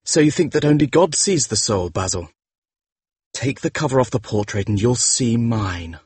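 A man acting a cold, husky young gentleman. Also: medium pitch, expressive, normal speed, no room sound, pitched above the performer's own voice. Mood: cold, taunting. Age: young adult. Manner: hushed, cold, bitter. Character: cold, cruel, bitter young gentleman, vain. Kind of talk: dramatised audiobook character voice.

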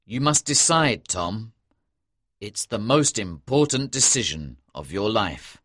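A woman voicing a serious young male ship officer in a read-aloud story, speaking low, earnest and urgent. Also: big pitch swings, slow pace, fairly bright tone, slightly rough, no room sound, clean studio sound, full character voice. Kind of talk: audiobook reading.

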